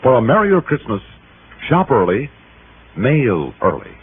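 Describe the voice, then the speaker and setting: An American man reading a scripted 1950s radio announcement in a deliberate, instructive manner. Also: medium pitch, wildly swooping pitch. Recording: muffled old radio broadcast, faint noise.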